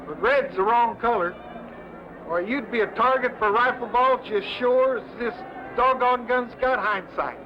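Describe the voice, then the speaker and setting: A man's voice: extremely high pitch, measured pace. An actor playing an uncouth, hearty mountain man with a rural American frontier accent in a western drama. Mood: hearty, folksy. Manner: rustic, hearty, blunt. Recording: dull old soundtrack, music behind.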